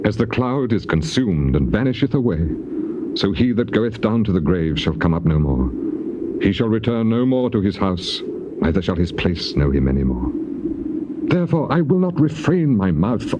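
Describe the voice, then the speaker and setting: A man's voice: mid-range voice, lightly acted. An actor playing an afflicted, despairing man who pleads bitterly in declamatory, archaic scripture drama. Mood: anguished. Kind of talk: dramatized scripture reading, stage-trained acting. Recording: noisy, muffled old cassette tape.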